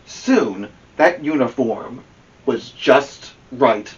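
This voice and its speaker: Animated man reading a children's story. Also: mid-range voice, drawn-out words, dull audio. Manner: expressive, addresses a young co-host with questions. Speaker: host of children's story podcast. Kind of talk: animated picture-book read-aloud. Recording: slightly distant from the microphone.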